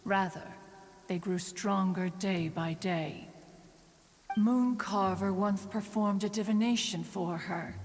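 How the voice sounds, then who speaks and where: Ancient female immortal, stately, haughty, formal archaic diction, mid-pitched, long echoing reverb, video game. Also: slow pace, a little hiss or hum. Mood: grave.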